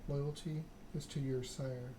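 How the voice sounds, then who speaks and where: Guy reading a story game asmr style, pretty monotone, trips over words sometimes, noisy background. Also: medium pitch, measured pace. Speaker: gaming channel host. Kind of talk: ASMR read-aloud of a narrative game.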